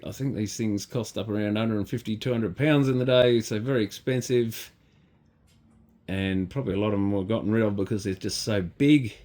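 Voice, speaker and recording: A man's voice: mid-range voice, darkish tone. A man with an Australian accent giving casual, unscripted hands-on commentary as a repairer, musing in an understated way. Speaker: electronics repairer presenting his channel.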